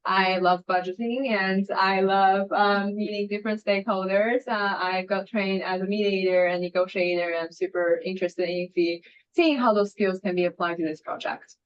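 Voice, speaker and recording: A woman's voice: medium pitch, fairly even intonation, moderate pace, muffled recording. A woman in her twenties from Shanghai, a policy student, introducing herself in an upbeat, eager way. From Shanghai, China. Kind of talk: self-introduction at a meeting.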